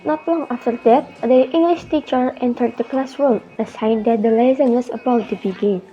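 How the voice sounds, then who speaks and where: A woman's voice: fairly high pitch, moderate pace, dark tone, muffled recording, some chatter behind, a little hiss or hum. A young woman reading scripted narration for a radio drama. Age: young adult.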